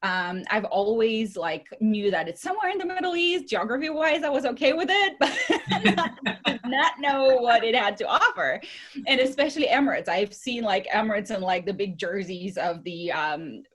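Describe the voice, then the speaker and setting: A woman's voice: fairly high pitch, animated, normal speed. Chatty Bulgarian-accented woman telling her story. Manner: chatty, outgoing, often laughing. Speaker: interview guest. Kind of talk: conversational personal storytelling.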